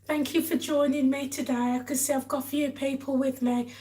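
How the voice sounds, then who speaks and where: A woman with a hoarse, croaky voice from a cold, chatting casually to live viewers as a vlog host. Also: high voice, level tone, brisk, mellow. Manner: chatty, addresses viewers directly. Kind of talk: casual live-stream chat.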